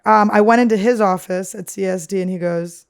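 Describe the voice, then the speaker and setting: Deep-voiced woman rambling crudely. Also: fairly even intonation, a little fast, clean tone. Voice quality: deep, damaged-sounding voice. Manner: talks nonstop, crude and self-mocking. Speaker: podcast host. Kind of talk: rambling comedic storytelling.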